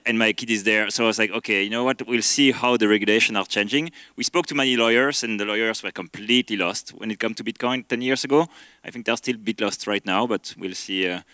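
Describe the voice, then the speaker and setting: Belgian man panelist, low bright crisp voice, relaxed storytelling. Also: no echo. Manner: relaxed, anecdotal, with filler pauses. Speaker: panelist, product leader. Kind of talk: conference panel talk. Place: conference stage.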